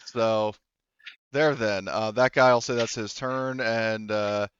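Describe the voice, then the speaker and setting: A man's voice: on the low side, steady tone, slow, fairly bright tone, clean tone, no echo, dull audio. A man running a tabletop role-playing game as game master, talking informally and swearing casually. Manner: profane, informal. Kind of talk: casual tabletop game narration, online session.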